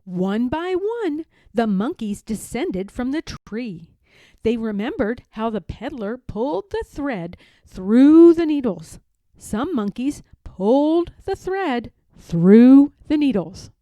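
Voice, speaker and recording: A woman reading a children's story aloud in a dramatic, deliberate way, stressing key words. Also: fairly high pitch, sing-song, dark tone, no echo. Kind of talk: children's read-aloud narration.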